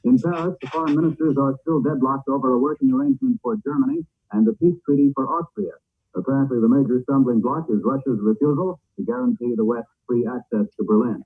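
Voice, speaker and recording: A man's voice: medium pitch, breathy edge. A man reading the news formally in 1940s radio style, on a muffled old broadcast recording. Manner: formal, declarative. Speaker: radio newscaster.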